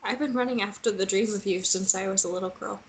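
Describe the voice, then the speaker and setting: A woman performs a young heroine in a fantasy romance drama, at a middling female pitch. She sounds torn and fearful, with an emotional, wistful way of speaking. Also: quick pace, a little hiss or hum, lightly acted, low-quality recording. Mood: distraught. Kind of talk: dramatic table read acting.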